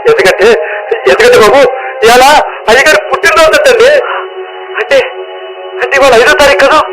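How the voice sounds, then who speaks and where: An actor playing a simple, devoted servant in a radio play, coaxing and deferential in rustic colloquial speech. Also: male voice, very high voice, lively intonation, fairly quick, over loud music, poor audio. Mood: humble, earnest.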